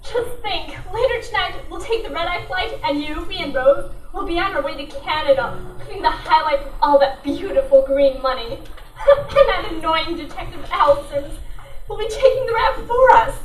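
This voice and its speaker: A woman's voice: very high-pitched, animated, moderate pace. A woman playing a mocking, hot-tempered female crook in an amateur old-time radio detective drama. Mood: gloating. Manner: mocking, theatrical. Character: scornful, gloating female crook, hot-tempered sister in crime. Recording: echoey room, distant mic, faint noise.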